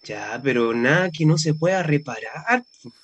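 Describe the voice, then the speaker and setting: A man's voice: a little high, animated, moderate pace, a bit bright, slightly rough, dull audio. An actor playing a worried, exasperated young man with a colloquial Chilean accent in a radio drama. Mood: concerned, reassuring. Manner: colloquial, slangy. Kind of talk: naturalistic radio drama acting.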